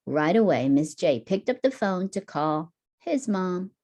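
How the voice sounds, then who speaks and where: A female teacher reads a picture book aloud. Her voice sits a little low and is very mellow, with deliberate, emphatic storytelling meant for children. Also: very animated.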